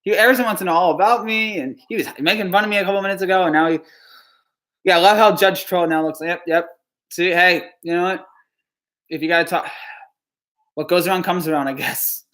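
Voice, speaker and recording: A man from New Jersey on a live stream, answering chat questions in a halting, dejected way, with frequent stammered restarts and sighs. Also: high-pitched, fairly bright tone.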